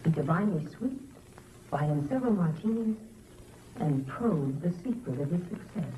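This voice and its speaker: An actress playing a wry, anxious theatre publicist in a dramatic, musing manner, in mid-century suspense style. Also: female voice, deep voice, lively intonation, moderate pace, warm tone, low-quality recording. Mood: wry, exasperated. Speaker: theatrical press agent. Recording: vintage broadcast with background music and noise.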